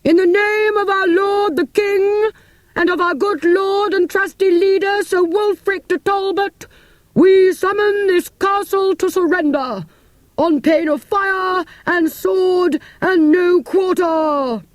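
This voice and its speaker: A woman's voice: takes it slowly, dry recording. A woman voicing a grown male herald who calls out formal, old-fashioned summons. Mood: stern. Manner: formal, archaic, booming.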